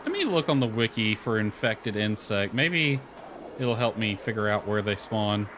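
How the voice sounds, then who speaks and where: A man's voice: lowish voice, sing-song, normal speed. A man thinking aloud in casual live game commentary, muttering to himself with mild exasperation. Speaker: game streamer. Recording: clearly noisy background.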